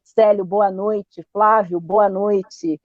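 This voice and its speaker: A woman's voice: medium pitch, fairly even intonation, drawn-out words, dry recording, lo-fi sound. A Brazilian woman hosting a live video chat, talking on with frequent fillers like "né" and "portanto". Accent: Brazilian Portuguese. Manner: repetitive, warm-up style, many fillers like "né" and "portanto". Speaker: podcast host.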